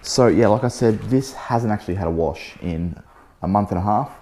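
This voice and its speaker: A man with a lowish, mellow voice that has gone slightly hoarse. He talks in a relaxed, chatty way as he walks through a car-care test, filling gaps with easy "so yeah" asides. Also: normal speed. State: losing his voice, a bit hoarse. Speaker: car detailing channel host. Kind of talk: casual product-test vlog narration. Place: outdoors beside a test panel.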